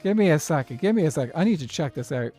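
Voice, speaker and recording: A man's voice: on the high side, expressive, racing, dark tone, faint music behind. A man from Dublin with a posh Irish accent, streaming a block-building game in casual chat, thinking aloud and laughing often. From Dublin, Ireland.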